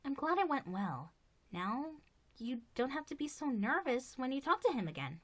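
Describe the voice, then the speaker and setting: A woman voicing a kindly, encouraging adult female pony princess in an animated fan series. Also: high-pitched, moderate pace.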